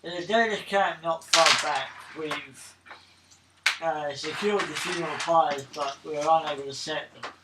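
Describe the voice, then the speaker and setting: A man's voice: a little high, measured pace. A man playing in a tabletop role-playing game, speaking in character. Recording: noisy, roomy, far-off tabletop recording.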